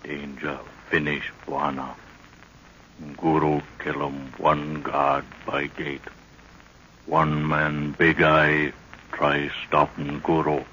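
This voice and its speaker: Loyal warrior guide, solemn, halting, very slow, muffled vintage radio sound. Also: male voice, very deep voice, completely flat delivery, pushed and bright, a little hiss or hum, exaggerated voice. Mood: fierce, terse. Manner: halting broken phrases, solemn, terse. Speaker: radio drama actor. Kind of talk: old-time radio adventure acting.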